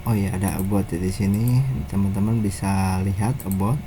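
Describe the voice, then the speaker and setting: An Indonesian man giving an informal software tutorial in a casual, halting, step-by-step way, as a developer showing his own app. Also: low-pitched, steady tone, background noise.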